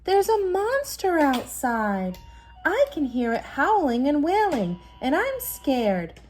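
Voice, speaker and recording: A woman's voice: sits high, wildly swooping pitch, a little mellow, faint music behind, voice pushed up, full character voice. A woman voicing a frightened, pleading young bear cub in a storybook. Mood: scared, pleading. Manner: drawn-out, pleading. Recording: noisy room, home recording.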